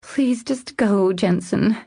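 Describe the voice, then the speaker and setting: Distraught young woman, played by a female narrator, breathy and higher-pitched, apologetic and pleading, fragile audiobook romance heroine. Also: slow pace, a little mellow, dry recording, clean studio sound. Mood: sad, defeated. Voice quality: breathy, tearful, cracking.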